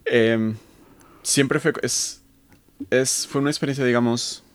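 A man in his thirties from Colombia and Spain, a radio interview guest telling his story casually and haltingly, searching for words. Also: mid-range voice, steady tone. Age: 30s. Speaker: interview guest, academic researcher.

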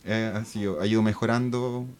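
Reflective Chilean man, low voice. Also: steady tone, darkish tone, clear, resonant tone. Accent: Chilean Spanish. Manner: reflective, halting, restarts words often. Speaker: guest. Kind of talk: casual podcast conversation.

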